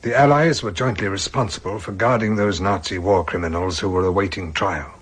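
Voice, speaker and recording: An ailing retired British army colonel in a radio drama. His voice is low and badly husky, breathy at the edges. He speaks formally and haltingly, weighed down with remorse. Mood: grave, indignant. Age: older.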